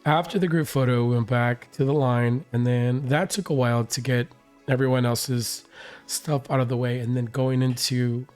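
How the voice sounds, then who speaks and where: Hoarse male vlogger, mid pitch, rambling casual recap, faint music underneath. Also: steady tone, normal speed, darkish tone. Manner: rambling, many fillers and restarts. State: hoarse, recovering voice. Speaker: reaction channel host.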